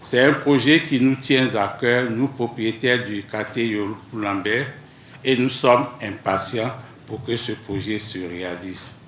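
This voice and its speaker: A man's voice: lowish voice, a little slow, fairly bright tone. A man making a statement for a television news report. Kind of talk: public statement. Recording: echoey, faint background noise.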